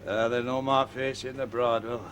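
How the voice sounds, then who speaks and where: An actor playing a drunk, lonely Newcastle football fan with a Geordie accent, rambling and indignant in a radio drama. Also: male voice, mid-range voice, flat delivery, a little fast, a bit bright, a little hiss or hum, natural voice. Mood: stubborn.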